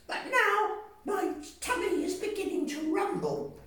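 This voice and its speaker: A woman voicing a small, clever, boastful mouse in a storybook reading, with a squeaky, giggly manner. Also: measured pace, a bit bright. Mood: cheeky. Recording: echoey room, distant mic.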